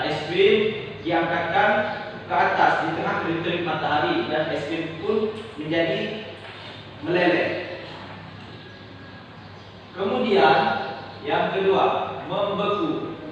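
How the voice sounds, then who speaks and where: A young man from Indonesia teaching a class, explaining slowly and pausing often on "nah". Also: sits high, a bit bright, slight background noise. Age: young adult. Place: classroom indoors. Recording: echoey roomy classroom, distant mic, dull.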